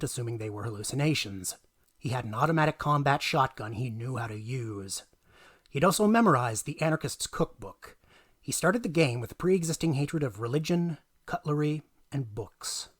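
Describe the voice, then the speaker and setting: A man reading a tall tale as dramatic narration, emphatic and theatrical, swinging between hushed asides and punched lines. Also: mid-range voice, slightly rough. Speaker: reader of a tabletop gaming tale.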